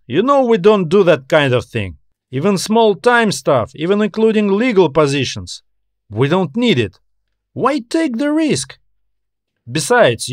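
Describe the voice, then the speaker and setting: Earnest, high-voiced space station operator played by a man. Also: no room sound, clean studio sound. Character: cautious, law-abiding male space station operator.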